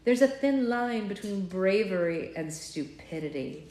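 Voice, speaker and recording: A woman's voice: medium pitch, expressive, measured pace, darkish tone. A woman voicing a haughty, vengeful enchantress in an echoey room. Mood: cold warning. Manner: dramatic, emphatic delivery. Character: vengeful, haughty evil enchantress, wounded and theatrical. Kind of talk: expressive storybook reading. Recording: roomy echo, faint background noise.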